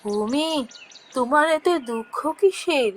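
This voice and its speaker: A woman voicing a compassionate magical female bird in a fairy-tale cartoon, gentle and soothing. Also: high voice, expressive, slow, slight background noise. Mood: gentle concern.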